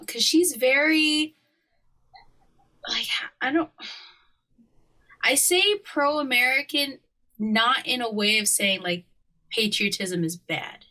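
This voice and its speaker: A woman's voice: a little high, animated, takes it slowly, long pauses, sharp tone. A woman from Hawaii chatting casually as a podcast co-host, opinionated and slangy. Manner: opinionated, slangy, often laughing. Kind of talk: casual conversational chat.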